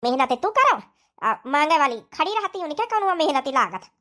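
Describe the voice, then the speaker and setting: An older cartoon mother-in-law, bossy and full of grumbles, as a woman acts her out. She speaks with an Awadhi village accent. The voice is very high and has a bright, sharp edge. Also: very animated, moderate pace, heavily acted. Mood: grumbling. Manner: bossy, complaining. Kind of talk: cartoon comedy dialogue in rural dialect.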